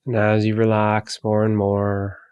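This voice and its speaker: Male meditation guide, lowish pitch, very slow delivery, calm and soothing, gentle, long pauses. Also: smooth, no room sound, muffled recording.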